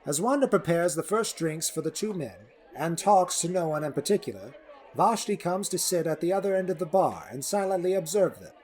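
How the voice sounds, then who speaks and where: A man with a husky voice narrating a scripted fantasy story. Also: on the high side, animated, fairly quick, darkish tone.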